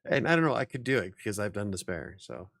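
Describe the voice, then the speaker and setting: A man hosting a casual after-hours chat show, rambling, laughing often and swearing now and then, with a slightly raspy voice. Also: fairly low pitch, fairly bright tone, no room sound, clean studio sound. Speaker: host.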